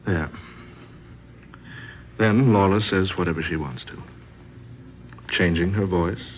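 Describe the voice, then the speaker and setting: Stiff, sceptical husband in radio drama: deep voice, formal and scornful, muffled faintly noisy sound. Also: male voice, slow. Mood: smug, explaining. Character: sceptical, aggrieved husband, stiff and coldly insistent. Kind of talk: old-time radio drama acting.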